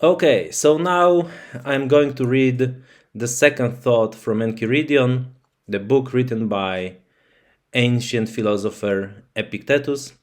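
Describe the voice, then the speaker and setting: A man whose first language is Polish talks to his viewers in English at an unhurried, deliberate pace. His voice sits at an ordinary middle pitch. He pauses often and fills gaps with soft fillers while he works out his thoughts. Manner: hesitant, thoughtful, frequent fillers. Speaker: channel host. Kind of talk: slow casual talk to camera.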